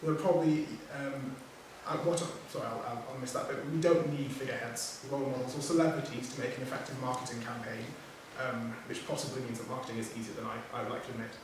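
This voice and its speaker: A man who speaks English as his first language, reading a prepared talk as a poet on a writers' panel, measured, with small self-corrections. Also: medium pitch, fairly even intonation. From Nigerian heritage, raised outside Nigeria. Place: theatre hall. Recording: echoey hall from far off, background noise.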